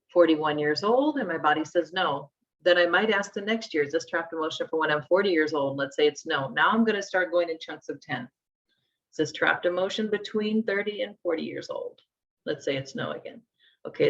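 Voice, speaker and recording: A woman in her forties teaching a live workshop, conversational as she talks through each step aloud. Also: on the low side. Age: 40s. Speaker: instructor. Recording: dull lo-fi sound.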